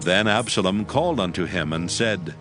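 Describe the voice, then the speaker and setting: Solemn low-voiced male narrator. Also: animated, normal speed, fairly bright tone, faint music behind. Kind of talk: solemn scripted scripture narration.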